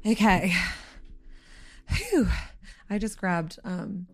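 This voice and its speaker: A slightly hoarse woman co-hosting a podcast, chatting casually, swearing freely and filling with likes and ums. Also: mid-range voice, big pitch swings, very slow, soft background music. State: a little hoarse. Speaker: podcast co-host. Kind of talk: casual chat.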